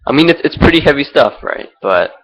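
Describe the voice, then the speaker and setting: A man's voice: a little high, very animated, a little fast, a bit bright, poor audio. A young man from Singapore talking through his thoughts without a script, rambling and hesitant, with many fillers and restarts, recorded on a laptop mic. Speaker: vlogger. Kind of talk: unscripted personal reflection. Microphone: laptop built-in mic.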